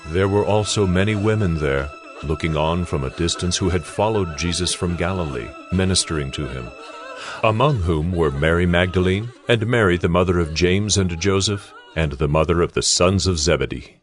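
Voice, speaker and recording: A man narrates scripture in a very deep register. His delivery is solemn and measured, storytelling in a dramatized style, with music playing clearly behind him.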